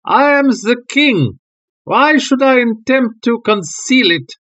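A man's voice: on the high side, lively intonation, a little slow, pitched above the performer's own voice. A man voicing a haughty, agitated European king who speaks in a formal, stately way. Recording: dull lo-fi recording.

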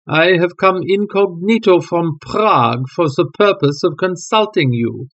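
A man's voice: high voice, unhurried, fairly bright tone, voice pushed up, full character voice. A man voicing a haughty, agitated European king who speaks in a formal, stately way. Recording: dull lo-fi recording.

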